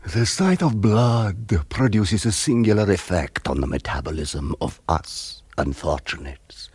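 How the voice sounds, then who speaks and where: An actor playing an ancient, aristocratic male vampire count in a radio drama, gravelly and husky, with an ornate, grandiose, savouring delivery. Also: sits low, animated, a little slow, darkish tone, dry recording, heavily acted. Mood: gloating, mournful. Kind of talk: 1970s radio drama acting.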